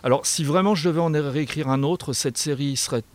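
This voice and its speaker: A man's voice: on the high side, a little fast, darkish tone. A man speaking as a guest author in a radio interview, answering in a reflective, hesitant way with trailing phrases. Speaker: guest author and small publisher.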